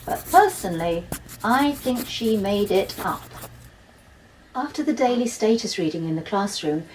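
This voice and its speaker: A woman's voice: medium pitch, a little mellow, far from the mic, slight background noise, low-quality recording. A woman narrating a first-person children's story expressively, as a school-age storyteller. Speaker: author reading from a children's novel.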